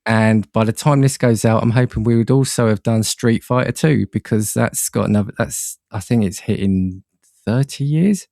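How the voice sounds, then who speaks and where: A man's voice: on the low side, normal speed, gravelly, dark tone, no echo, studio quality. A man chatting casually as a podcast guest, hesitant and rambling, often repeating words as he goes. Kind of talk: casual chat about films and games.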